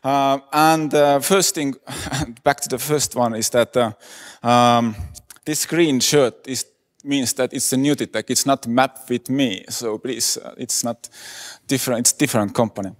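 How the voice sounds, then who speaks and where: Man giving a halting talk. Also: medium pitch, steady tone. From Estonia. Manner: hesitant, many fillers. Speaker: conference speaker. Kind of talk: lightning talk presentation. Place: conference hall. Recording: echoey conference hall.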